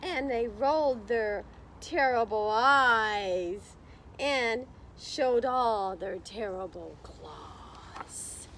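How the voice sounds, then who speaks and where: A woman's voice: fairly high pitch, drawn-out words, fairly bright tone, slight background noise. A woman reading a picture book aloud to young children, expressively, with encouraging, chatty explanations along the way. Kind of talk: slow children's read-aloud with chatty asides to listeners.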